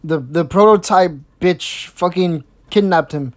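A woman hosting a mocking reaction commentary, sarcastic and crude, swearing freely and stumbling over restarts. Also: measured pace. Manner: sarcastic, swears a lot, mimics in squeaky voices. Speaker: host.

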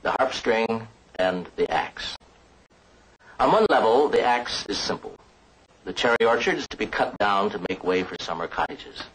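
An older man presenting an educational film in a deliberate, scripted lecture with dramatic emphasis. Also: lowish voice, expressive, a bit bright, slight background noise, rough recording. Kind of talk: measured scripted lecture to camera.

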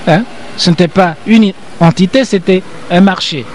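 An older man explains himself with the insistence of a lecturer, circling back and repeating his points. His voice sits fairly high for a man. Faint city noise hums behind him. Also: moderate pace. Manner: emphatic, repetitive, lecturing. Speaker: interview guest, professor. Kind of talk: interview.

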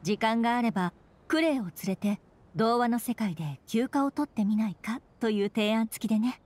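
A woman's voice: on the high side, expressive, normal speed, darkish tone, natural voice. A woman voicing a dutiful young female knight commander in an anime game, formal, composed and polite. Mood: earnest. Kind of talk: Japanese anime game voice acting.

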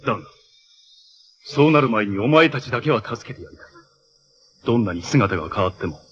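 An actor playing a menacing armored cyborg villain in a 1980s Japanese superhero TV show, making imperious, theatrical proclamations. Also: male voice, medium pitch, expressive, a little fast, a bit bright, dull audio, natural voice. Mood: somber, detached.

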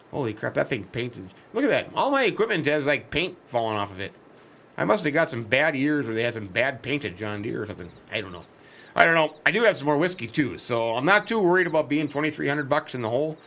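A middle-aged man with a slightly hoarse, scratchy voice, rambling folksy commentary as he plays a farming game. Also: medium pitch, sharp tone. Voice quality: scratchy, cracky throat. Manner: rambling, folksy, chatty asides. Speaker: let's play host.

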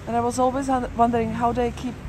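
A woman's voice: on the high side, little pitch movement, quick pace. A woman walking and filming, chatting casually and musing aloud as she narrates a street tour. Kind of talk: casual walk-and-talk commentary. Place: busy shopping street outdoors. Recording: outdoor street noise. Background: loud traffic and street noise.

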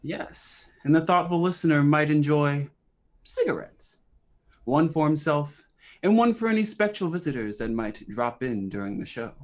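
Young man as an eerie, animated horror radio host. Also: fairly high pitch, normal speed, lightly acted. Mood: wry, spooky. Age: young adult. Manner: theatrical, wry, sinister storytelling. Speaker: radio show host and narrator.

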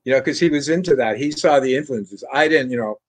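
An older man from France, a guitarist guest, telling stories in a relaxed, rambling way with many fillers and restarts, speaking over a video call from a room lined with bookshelves. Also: mid-range voice, fast talker. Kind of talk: casual interview storytelling.

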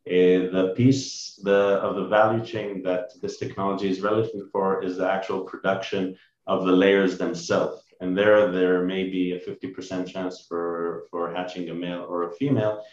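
A middle-aged man with a low voice presents like a company chief pitching to investors. He explains things in measured wording. The sound is muffled and roomy, as on a video call indoors. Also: little pitch movement, darkish tone. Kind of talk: business pitch and Q&A.

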